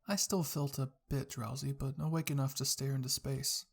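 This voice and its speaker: A narrator reading a scripted fan fiction story in a husky, creaky voice, in the style of ASMR roleplay. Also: mid-range voice, fairly even intonation, moderate pace.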